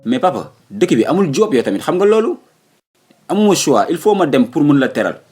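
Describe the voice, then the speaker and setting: A man's voice: a little high, big pitch swings, a little mellow, a little hiss or hum. A man voicing a determined, evasive young son in a comic cartoon, with a Senegalese accent and an argumentative way of talking. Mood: pleading. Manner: argumentative, quick excuses.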